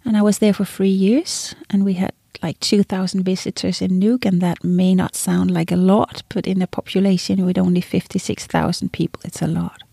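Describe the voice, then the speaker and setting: Interview guest: middle-aged Greenlandic woman, Danish mother tongue, warm low-brightness voice, close dry sound. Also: flat delivery, normal speed, gravelly, hardly any pauses, slightly rough, no room sound. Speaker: interview guest, executive and former politician. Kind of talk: personal career account in an interview.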